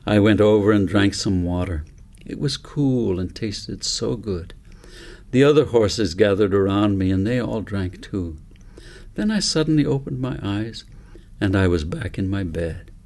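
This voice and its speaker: A man's voice: on the low side, expressive, unhurried, a little mellow. A man narrating a story as an author reading his own book aloud.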